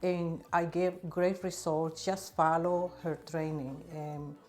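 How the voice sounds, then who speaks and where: A woman's voice: low voice, flat delivery, slow pace, darkish tone. A woman thread practitioner giving an earnest testimonial with non-native grammar. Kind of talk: testimonial interview answers.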